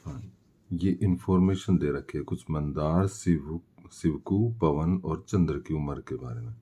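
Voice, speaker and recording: Male tutor, slow and mellow delivery, walking through worked solutions with self-answered questions. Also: very deep voice, slightly rough. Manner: explanatory, poses questions and answers them himself. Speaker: maths teacher. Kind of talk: step-by-step worked-solution lesson.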